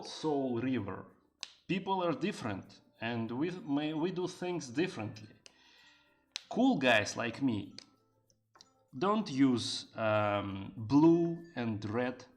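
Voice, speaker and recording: A male streamer talks loosely to his chat while he works, piling up fillers and restarts. He drops into broken learner Japanese for a joke. Faint music plays behind him. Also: medium pitch. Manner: rambling, many fillers, answers chat. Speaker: streamer and game developer. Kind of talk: casual live-stream chat while drawing.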